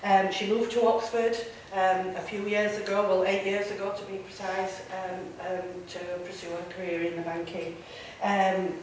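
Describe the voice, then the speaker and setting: A woman's voice: mid-range voice, level tone, normal speed, a little mellow, a little hiss or hum. Yorkshire woman introducing speakers in an echoey hall. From Yorkshire, England. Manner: chatty, uses fillers. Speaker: event host introducing speakers. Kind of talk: speech to an audience. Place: large echoey room. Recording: very echoey room, voice from far off.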